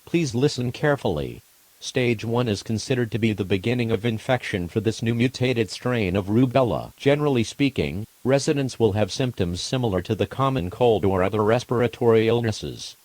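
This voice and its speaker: A man with a lowish voice reads out an emergency alert in a formal, impersonal manner. His delivery is measured and scripted, like an official announcer. The sound is dry, with very little room on it. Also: animated, a little mellow, dry recording.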